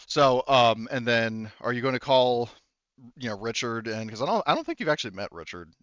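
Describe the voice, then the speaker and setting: A man acting as game master of a tabletop roleplaying game, explaining things conversationally in a halting, thinking-aloud way with trailing asides. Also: mid-range voice, animated, a bit bright, clear, resonant tone, no echo, muffled recording.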